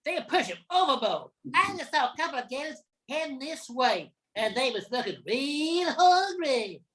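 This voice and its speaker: A woman's voice: sits high, very animated, normal speed. An older woman playing a menacing, bossy bayou robber in a radio play.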